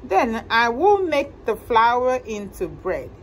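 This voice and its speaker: A woman voicing an industrious, resolute little red hen in a storybook, asking and declaring in a drawn-out, deliberate way. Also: fairly high pitch, very animated, slight background noise, voice pushed down.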